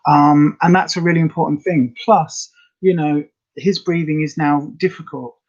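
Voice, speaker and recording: A woman with a slightly raspy voice, chatting casually and rambling to viewers as the host of a live health update. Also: deep voice, steady tone, normal speed, a little mellow, dull audio. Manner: chatty, rambling, many fillers.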